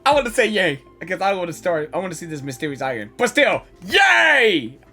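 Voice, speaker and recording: A woman gamer reacting casually to a video game, excitable and quick to exclaim. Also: fairly low pitch, faint music behind. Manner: excitable, exclaiming, echoing the dialogue. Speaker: gaming reaction video creator.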